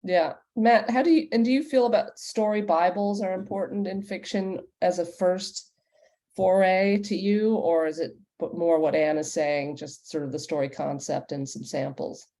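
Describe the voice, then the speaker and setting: A woman's voice: lowish voice, steady tone, moderate pace, mellow. A woman moderating a professional online panel and putting audience questions to the guests. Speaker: panel moderator and audio development executive.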